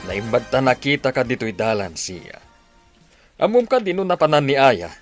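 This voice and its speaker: Stern, expressive father played by a male actor. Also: medium pitch, moderate pace, soft background music, lightly acted. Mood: grumbling. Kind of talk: Ilocano radio drama acting.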